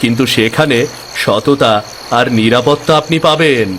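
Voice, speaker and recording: A man voicing a poor, kindly young village boatman in an animated fairy tale, speaking politely and earnestly. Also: mid-range voice, measured pace, slight background noise, natural voice.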